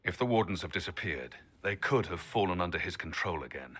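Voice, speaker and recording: A grim, seasoned adventurer in a fantasy game. His voice sits very low yet carries a bright, forceful edge. He speaks firmly and steadily, set on finishing an old foe. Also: fairly quick, husky, full character voice. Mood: determined. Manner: firm, steady, determined. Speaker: former adventurer helping the Inquisitor.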